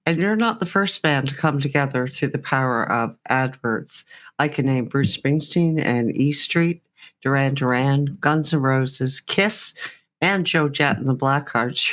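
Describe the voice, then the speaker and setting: A woman's voice: extremely low pitch, measured pace. A woman hosting a music show, chatting enthusiastically as she interviews guests. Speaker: radio show host and indie music reviewer.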